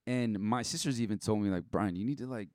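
A man's voice: lowish voice, fast talker, darkish tone, no room sound, studio quality. A young man talking casually and candidly as a podcast guest, blunt and given to swearing, acting out bits of past conversations as he goes. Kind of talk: casual personal chat.

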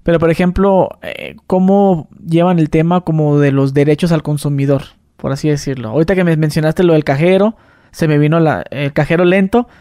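A man's voice: a little high, steady tone, brisk, warm tone, dry recording, studio quality. A man with a Mexican accent interviewing a guest, colloquial and joking, acting out little quoted exchanges. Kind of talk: casual interview conversation.

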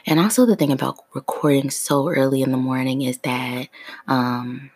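A woman in her thirties chats freely on her own podcast, still sleepy from just waking up. Her voice sits very low with a gravelly fry. The sound is close and dry, with no room around it. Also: normal speed, no echo. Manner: relaxed, free-flowing, with soft laughs. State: sleepy morning voice. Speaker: podcast host. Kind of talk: casual solo podcast chat.

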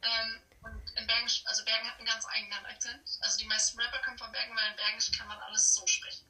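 Teen girl from germany, sharp bright voice, chatty exchange student, a bit far from mic on echoey call. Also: a little high, flat delivery, fast. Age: teens. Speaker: exchange student being interviewed. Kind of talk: casual chat. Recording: echoey, distant phone or video call.

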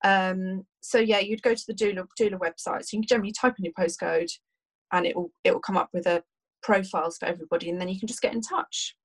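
A woman's voice: mid-range voice, fairly even intonation, fast talker, rough recording. A woman, a doula, answering podcast questions casually with many fillers and restarts while losing her voice. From United Kingdom.

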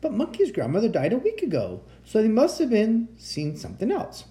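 A man's voice: sits high, huge pitch swings, brisk, dark tone, voice pushed up. A man voicing a suspicious, self-important wild boar in a children's storybook, speaking pompously and emphatically. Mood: gossipy, puzzled.

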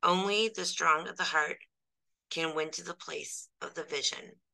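A woman voicing a calm, wise male spiritual teacher who speaks gently and patiently. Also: deep voice, a little slow, vocal fry, exaggerated voice, rough recording. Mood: urging.

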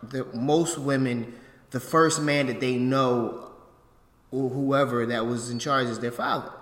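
A man's voice: medium pitch, fairly even intonation, measured pace, fairly bright tone. A young man co-hosting a casual chat podcast, playful and jokey, who stumbles over words. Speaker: podcast co-host. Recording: echoey room with long reverb.

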